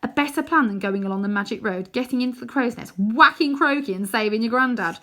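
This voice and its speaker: A reckless young boy wizard from a children's storybook , acted out by a woman. The voice is pitched high and pushed loud, cocky and gleeful, with exuberant shouts. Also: quick pace. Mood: boastful, eager.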